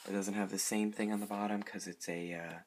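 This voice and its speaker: Casual hobbyist show-and-tell: man, low flat voice, rambling and repetitive, faint noise on an amateur recording. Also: brisk. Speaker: hobbyist showing a weather radio collection.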